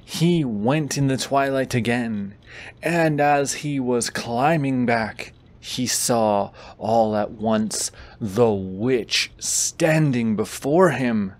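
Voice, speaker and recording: A man with a mid-pitched voice plays a boyfriend reading a bedtime story. He takes his time, slipping between measured storytelling and goofy, teasing asides. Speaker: boyfriend character reading aloud.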